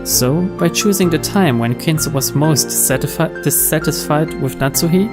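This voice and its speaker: A narrator reads a visual novel's story text from a script. The voice sits at a medium pitch, with music playing behind it. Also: normal speed. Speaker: narrator reading the game's translated text. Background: background music.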